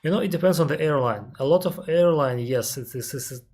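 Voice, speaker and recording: A man with a Russian accent, an airline captain on a live stream, reading out viewer questions and answering them carefully, often pausing with "uh" and restarting his sentences. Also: a little high, a little mellow, breathy edge. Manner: careful, explanatory, pauses with frequent "uh" and restarts; reads viewer questions aloud, then answers at length.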